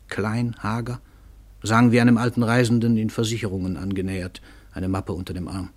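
An actor playing a self-important, hard-drinking world-famous novelist, brusque and imperious, in a German radio play. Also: male voice, on the low side, slightly rough. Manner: curt, imperious, loud outbursts. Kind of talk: German radio play acting with first-person narration.

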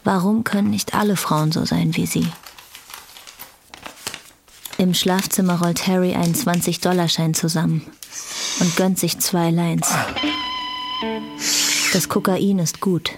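A woman's voice: fairly low pitch, fairly even intonation, a little slow, creaky. A woman reading scripted narration for a German radio drama. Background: guitar music.

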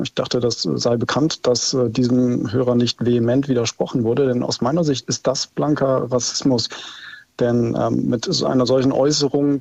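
A man calling in to a radio show, making a firm, deliberate argument with many fillers. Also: medium pitch, creaky, darkish tone, slightly rough, dry recording. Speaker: caller. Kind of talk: phone-in opinion. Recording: dull phone line.

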